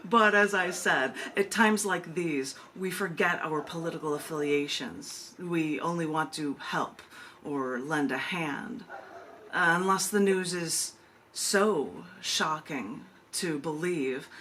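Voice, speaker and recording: A woman hosting a virtual open mic, reading her poems and introducing them casually, with deliberate, emphatic phrasing and drawn-out pauses. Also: deep voice, pushed and bright, slight background noise. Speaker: open mic host and poet. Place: virtual open mic over video call.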